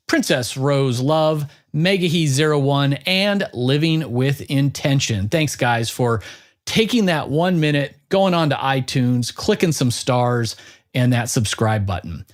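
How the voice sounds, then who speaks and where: A man hosting a podcast in an informal, chatty, conversational way, as in an interview. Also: a little high. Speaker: podcast host.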